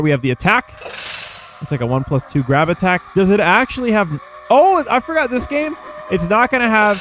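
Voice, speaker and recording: Man, fairly high voice, casual gameplay commentary, slangy and rambling, music behind. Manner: rambling, playful, informal slang. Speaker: gaming video host. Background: background music.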